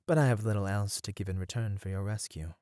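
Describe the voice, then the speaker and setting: A man playing a merman siren in an ASMR roleplay, speaking in courteous, formal phrases as a seemingly gentle creature with a predatory streak. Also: lowish voice, no echo, clean studio sound, close to an everyday voice. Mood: shy, hopeful. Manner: soft, courteous, formal, halting speech. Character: male merman siren, feigning gentle innocence, secretly predatory.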